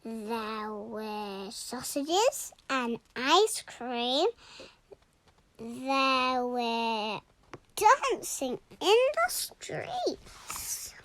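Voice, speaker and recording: A young girl reading a rhyming children's storybook aloud in a deliberate, expressive way. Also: fairly high pitch, low-quality recording. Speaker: story reader.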